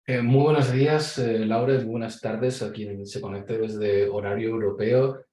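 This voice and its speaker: A man with a Spain Spanish accent giving an online conference presentation, measured and explanatory, with frequent hesitations over a video call. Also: lowish voice, fairly even intonation, mellow. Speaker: conference speaker. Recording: echoey room.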